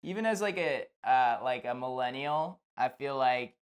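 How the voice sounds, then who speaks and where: A man's voice: mid-range voice, expressive, moderate pace, smooth. A man in his thirties co-hosting, chatting casually and reacting to what the guest says. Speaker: co-host. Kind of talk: casual chat.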